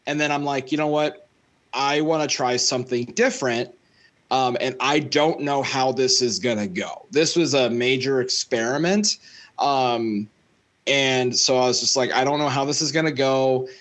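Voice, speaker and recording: A man's voice: mid-range voice, moderate pace, fairly bright tone. A young man talking as a guest musician on a podcast over a video call, rambling with fillers and restarts. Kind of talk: casual interview. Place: home room. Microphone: studio mic close, headphones on.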